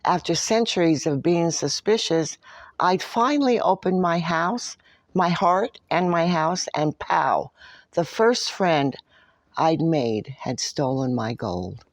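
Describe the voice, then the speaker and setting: A woman reading a picture book in the first person as a smug, grumbling but soft-hearted leprechaun. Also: sits low, lively intonation, slow, no room sound, studio quality, full character voice. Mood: anxious, sad. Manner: boastful, dramatic storytelling.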